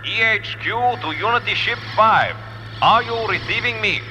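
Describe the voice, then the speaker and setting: An actor playing a brisk, impersonal headquarters operator over a radio link, formal and clipped, in 1950s radio drama style. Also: male voice, sits high. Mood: brisk, official. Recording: very noisy crackling radio link.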